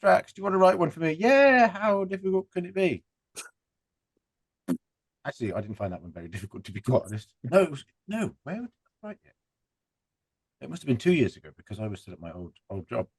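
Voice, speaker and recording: A man chatting casually as a panel guest, with a jokey, self-deprecating, animated manner. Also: a little high, fairly quick, pauses often, husky, dry recording. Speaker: audio drama writer and panel guest. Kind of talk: casual group chat.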